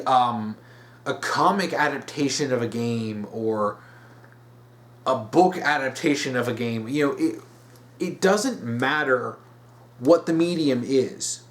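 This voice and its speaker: Male host, unscripted opinion commentary, mid-range pitch, emphatic delivery with halting repeats. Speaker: host of a weekly topic video series.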